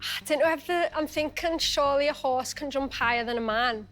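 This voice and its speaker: High-voiced Liverpool woman contestant. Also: fairly quick, a bit bright. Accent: Liverpool English. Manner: friendly, cautious, thinks aloud. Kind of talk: casual chat. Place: TV studio.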